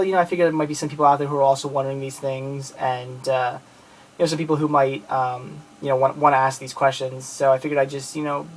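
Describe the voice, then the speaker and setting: A man's voice: medium pitch, low-quality recording. A man in his late twenties from outside Philadelphia, talking casually to camera about his movie collection, rambling with many fillers and restarts in a friendly, grateful way. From outside Philadelphia, Pennsylvania.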